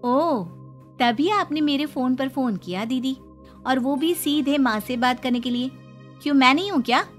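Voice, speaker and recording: A woman's voice: high-pitched, moderate pace, faint music behind, pitched above the performer's own voice, lightly acted. A woman voicing a young, dutiful daughter-in-law in a narrated family story. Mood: hurt, reproachful. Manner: polite, eager to please.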